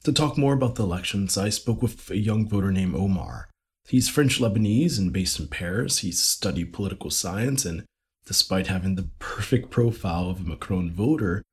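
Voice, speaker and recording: Husky man hosting, lively pitch. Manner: wry, laughs often, animated questioning. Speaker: podcast host. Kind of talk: narrated segment and casual interview.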